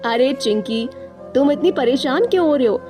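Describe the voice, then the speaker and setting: A woman voicing a confident, protective young male pigeon husband in a cartoon, talking in a brisk, reassuring way. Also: sits high, animated, dark tone. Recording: music bed behind the voice.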